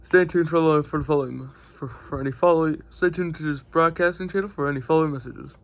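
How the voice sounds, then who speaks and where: A man as a news announcer, reading an amateur scripted bulletin in a halting way. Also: fairly high pitch, highs cut off. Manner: halting, stumbling over words.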